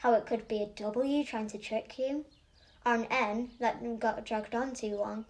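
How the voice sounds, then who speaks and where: A watchful, literal-minded eight-year-old girl from in a radio-style monologue. The voice is soft-toned and a little high, with an earnest, matter-of-fact delivery. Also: steady tone, a little slow. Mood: matter-of-fact, quiet. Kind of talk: audio play monologue.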